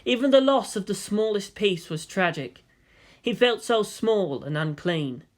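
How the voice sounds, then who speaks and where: Fan fiction audiobook narrator: mid-pitched, scripted, expressive storytelling delivery. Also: unhurried.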